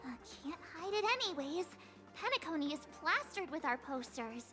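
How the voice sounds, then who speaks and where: A woman's voice: sits high, moderate pace. A woman playing a perky, chirpy teenage adventurer girl in a video game, with music behind her. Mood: curious, chatty. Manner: chirpy, expressive. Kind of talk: video game character acting.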